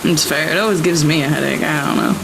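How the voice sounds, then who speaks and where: A woman's voice: low voice, fast talker, creaky, noisy background. A woman playing a tabletop game, casually improvising and describing what her character does. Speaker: tabletop player.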